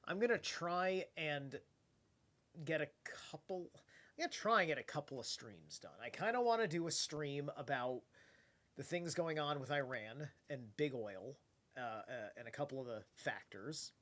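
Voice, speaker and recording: A man's voice: on the high side, animated, normal speed, fairly bright tone. A tired man with a stuffed-up nose, rambling loosely in casual late-night stream chat and swearing now and then. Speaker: live streamer.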